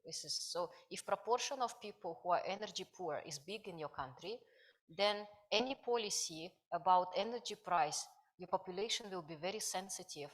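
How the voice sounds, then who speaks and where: A woman's voice: on the low side, expressive, normal speed. A woman from Kazakhstan, presenting research at a conference, speaking hesitantly with many fillers. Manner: hesitant, many fillers, non-native grammar. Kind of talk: academic conference talk.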